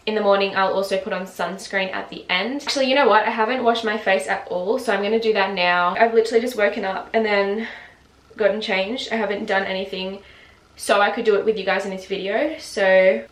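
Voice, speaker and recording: Female, 20s, relaxed filler-heavy home vlog delivery, mid pitch, roomy sound. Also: fairly quick. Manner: chatty, lots of "like" fillers, laughs often. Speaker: beauty vlogger. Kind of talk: casual chat to camera. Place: home, just woken up. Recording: roomy echo.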